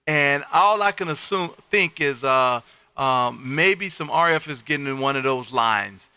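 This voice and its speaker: A man hosting casual amateur radio chat, with a loud, folksy, animated patter. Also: medium pitch, a little slow, clear, resonant tone, no room sound. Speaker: amateur radio operator and livestream host. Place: home radio room.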